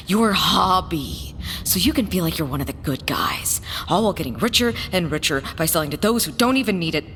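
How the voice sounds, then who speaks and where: An actress playing an earnest, defiant smuggler in an audio drama, speaking in a blunt and serious way. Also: female voice, a bit bright. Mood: bitter, defiant. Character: earnest, defiant working-class woman smuggler on the run. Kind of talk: scripted audio drama acting. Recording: long reverb, faint rumbling background noise.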